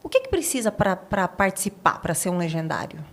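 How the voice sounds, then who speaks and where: A woman's voice: medium pitch, very animated, brisk. A Brazilian woman talking conversationally as the host of an interview podcast.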